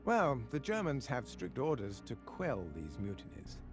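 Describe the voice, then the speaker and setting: An actor playing a shrewd, earnest army officer, giving measured briefings in a video game cutscene. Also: male voice, mid-range voice, big pitch swings, over music. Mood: measured, resolute.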